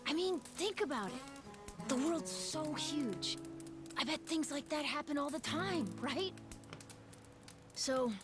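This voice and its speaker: A woman voicing a plucky, curious island child in a video game, offhand and earnest with a youthful, casual delivery. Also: high-pitched. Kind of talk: video game cutscene acting. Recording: music clearly behind the dialogue.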